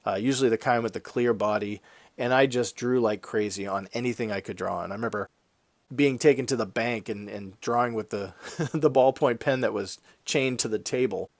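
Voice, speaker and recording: Man with a stuffy, slightly raspy voice. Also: mid-range voice, normal speed, no room sound. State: congested from a head cold, slightly raspy. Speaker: YouTube art channel host. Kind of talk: casual conversational vlog narration.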